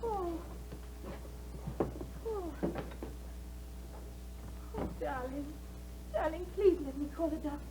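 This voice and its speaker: An actress playing an anxious young wife who is tense, pleading and often near tears, in a 1950s radio drama. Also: female voice, extremely high pitch, animated, slow pace, long pauses, heavily acted. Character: anxious young wife, fearful for her husband. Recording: dull 1950s radio broadcast with faint hiss.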